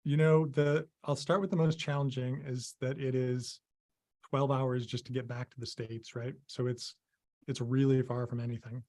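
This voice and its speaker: Halting middle-aged man from Maine. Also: mid-range voice, flat delivery, darkish tone. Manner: halting, many ums and restarts. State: losing his voice, clearing throat. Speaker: interview guest, visual effects artist. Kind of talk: casual conversation over video call. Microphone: video call.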